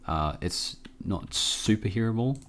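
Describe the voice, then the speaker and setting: A man hosting a casual software tutorial, informal and a bit hesitant as he thinks aloud. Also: on the low side, lively intonation, measured pace, heavy background noise. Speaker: tutorial host.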